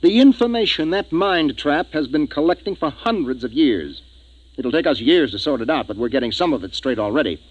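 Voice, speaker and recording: A man's voice: on the high side, lively intonation, a little fast. An actor playing a grave, decisive male spaceship captain who narrates his tale in a 1950s radio drama. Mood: pleased, brisk. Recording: dull 1950s radio recording, music behind.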